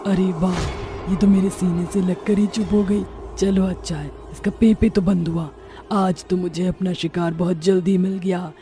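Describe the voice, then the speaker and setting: Woman voicing an expressive witch mother, bright-- timbre. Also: lowish voice, normal speed, soft background music, close to an everyday voice. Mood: hungry, scheming. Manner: expressive, exclaiming, talks to herself. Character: witch, hungry man-eater turned doting, protective adoptive mother. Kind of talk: Hindi cartoon story voice acting.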